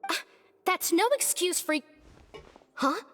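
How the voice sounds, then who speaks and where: High-voiced, spirited young worker. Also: female voice, animated, measured pace, sharp tone, heavily acted. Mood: teasing, flustered. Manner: playful, bantering. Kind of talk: video game voice acting. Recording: long reverb.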